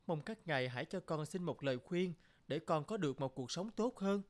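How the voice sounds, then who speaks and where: A man's voice: a little high, lively intonation, brisk, natural voice. A man playing a poor, humble young woodcutter in a cartoon folktale, earnest and polite. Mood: pleading.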